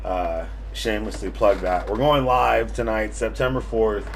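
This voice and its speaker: A man's voice: fairly low pitch, steady tone, measured pace, slight background noise. A man in his thirties from Vermont, hosting a casual podcast with brash, profane rants. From Vermont, USA. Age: 30s. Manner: brash, ranting, heavy swearing. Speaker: podcast host and streamer.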